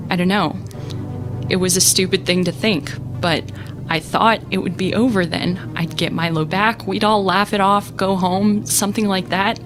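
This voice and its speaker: A woman playing a scared young student captain in an audio drama, self-doubting but determined, speaking in halting bursts. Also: medium pitch, steady tone, music in the background, natural voice. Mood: bitter, despairing. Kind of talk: audio drama monologue as a recorded message.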